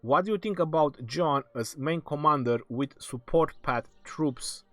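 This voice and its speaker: A man hosting a live game stream, reading viewers' questions aloud and answering them casually, his voice going hoarse. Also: a little high, measured pace, fairly bright tone, no room sound. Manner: reads viewer questions aloud then answers, laughs often. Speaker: game livestream host. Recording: faint background music.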